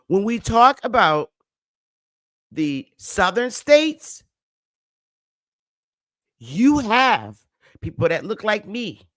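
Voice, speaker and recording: A woman's voice: medium pitch, fairly bright tone, no room sound, studio quality. A woman with a Northern US accent hosting a livestream, lecturing her viewers emphatically, repeating her points and calling on the chat to answer back. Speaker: livestream host.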